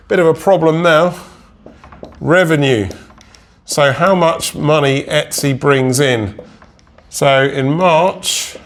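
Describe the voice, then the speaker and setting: A man with a head cold and a slightly nasal voice, talking casually to viewers as an online selling consultant and often checking in with them. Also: a little high. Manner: chatty, checks in with listeners with "right?" and "okay?". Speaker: Etsy consultant. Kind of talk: casual direct-to-camera explainer.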